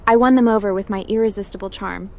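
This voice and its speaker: A woman's voice: mid-range voice, steady tone, fast, a little mellow, natural voice. A woman voicing a confident, wry female hacker adventurer in scripted video game roleplay, with a cool, direct delivery.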